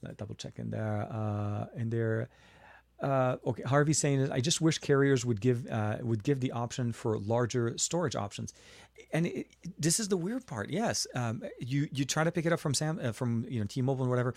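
A man's voice: mid-range voice, moderate pace, creaky, a little mellow, no room sound. Chatty male tech host from Lebanon. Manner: chatty, answers viewer chat with fillers and restarts. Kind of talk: casual live Q&A.

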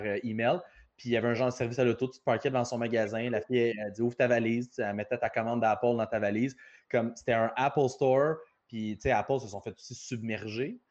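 A man's voice: medium pitch, darkish tone, dull audio. A man with a Quebec French accent hosting a casual business podcast, telling rambling stories. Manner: talkative, rambling anecdotes. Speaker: podcast host.

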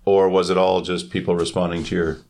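A male co-host speaks in a somewhat low voice. He chats informally and asks questions with a joking, teasing streak. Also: little pitch movement, fairly quick, darkish tone. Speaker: podcast co-host. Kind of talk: casual chat.

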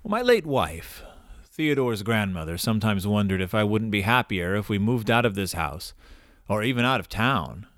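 An elderly grandfather in an audio drama, with a lively, wide-swinging delivery. He is courteous and old-fashioned, kindly but gruff and a little wistful, recorded dry and close. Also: lowish voice, moderate pace, dry recording. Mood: wistful, reflective. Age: older.

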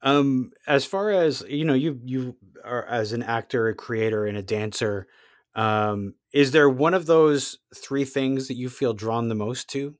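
An interviewer who asks halting, searching questions with many restarts and murmurs of agreement. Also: mid-range voice, no echo, studio quality. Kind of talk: conversational interview.

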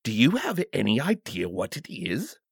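A man's voice: mid-range voice, wildly swooping pitch, normal speed, creaky, slightly rough, no room sound, studio quality, full character voice. A man voicing a flustered, petulant and curious king in a storybook, with a fussy, pleading manner. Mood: puzzled.

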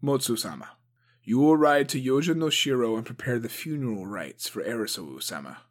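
A man voicing a young male clan leader who speaks calmly and with measured authority. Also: medium pitch, close to an everyday voice. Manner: calm, commanding.

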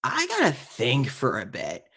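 A woman's voice: a bass voice, wildly swooping pitch, unhurried, vocal fry, fairly bright tone, slightly rough. A woman speaking casually and earnestly in an interview, explaining her thoughts. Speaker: interview participant, actor.

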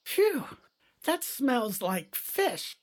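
A woman's voice: sits high, big pitch swings, very slow, a little hiss or hum, full character voice. A woman playing a fretful, stubborn older mother and wife in an audio drama. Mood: wary.

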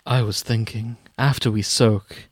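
A man's voice: fairly low pitch, fairly even intonation, measured pace, no room sound. A man reading the part of a smug, chipper man from a fan fiction, teasing with mock-earnest requests.

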